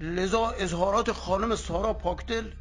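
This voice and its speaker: An actor playing a stern court official reading a cold ruling in a formal, legalistic way in an audio drama. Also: male voice, sits high, steady tone, soft background music.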